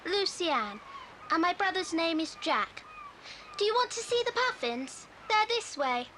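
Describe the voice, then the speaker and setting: Timid little girl for adventure audio drama, bright piping voice, slightly noisy old tape. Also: extremely high pitch, big pitch swings, a little slow, exaggerated voice. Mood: eager, childlike. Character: anxious little girl, youngest of the young adventurers. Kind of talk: children's radio-play acting. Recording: faintly noisy old cassette transfer.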